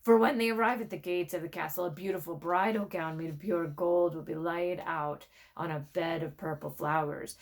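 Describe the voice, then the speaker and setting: A woman voicing a grim, knowing raven in a storybook, measured and ominous as it foretells doom. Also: lowish voice, vocal fry. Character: grim, knowing raven who relishes worse news. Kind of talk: storybook read-aloud.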